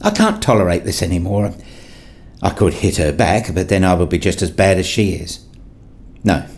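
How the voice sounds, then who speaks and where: A man playing an earnest, dryly sarcastic university researcher in a scripted radio play, with a deadpan, formal way of talking. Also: low-pitched, moderate pace. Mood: wounded, resolute. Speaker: narrator and lead actor in a radio play. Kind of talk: first-person scripted radio play narration and dialogue.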